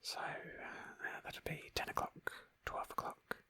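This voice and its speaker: Asmr girl whispering thru a game roleplay, super breathy n crisp, slow pauses, woman. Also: sharp tone. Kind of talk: whispered ASMR, improvised storytelling over a game playthrough.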